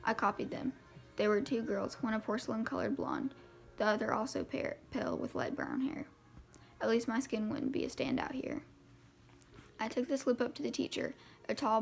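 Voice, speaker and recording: A woman reads a novel aloud in an informal, amateur way, tripping over words here and there. Her pitch is a little high and moves around quite freely, with a heavy creak running through it. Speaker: amateur audiobook reader. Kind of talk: reading a first-person novel aloud.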